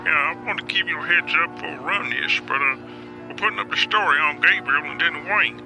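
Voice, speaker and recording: Male actor playing a jittery newspaperman, high gravelly voice, far off, music under it. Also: fairly quick. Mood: worried. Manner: hesitant, breaks bad news. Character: male newspaper beat reporter, nervous and urgent.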